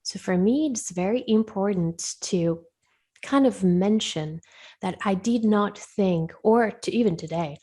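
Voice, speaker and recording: A woman whose English carries a blend of Spanish and French accents. She speaks as a guest in a conversational interview at a medium pitch. Her manner is reflective and explanatory, and she pauses to find her words. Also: dark tone. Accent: foreign, Spanish and French influenced. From Colombia and Switzerland. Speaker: guest, wellness coach.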